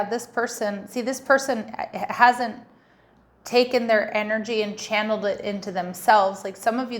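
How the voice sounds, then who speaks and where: A woman hosts a tarot reading with a slightly croaky voice from a cold. She talks casually and warmly to her viewers and keeps restarting her sentences. Her pitch sits mid-range, with a faint noise behind her. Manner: chatty, repeats the listener's sign often; frequent restarts and fillers, warm addressing. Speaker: tarot reader.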